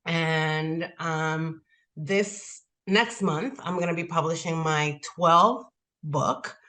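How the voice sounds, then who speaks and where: Woman, 40s, crisp bright tone, chatty, lots of "like" fillers. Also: low-pitched, drawn-out words. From Dominican Republic. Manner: chatty, heavy use of "like" fillers. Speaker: guest author. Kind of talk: casual interview answers.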